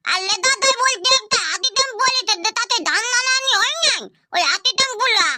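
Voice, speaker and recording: A woman's voice: very high voice, fairly quick, bright, forceful tone, no echo, exaggerated voice. A woman voicing a stubborn, whiny village little brother who pleads and insists, in a Purulia Bengali accent. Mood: eager.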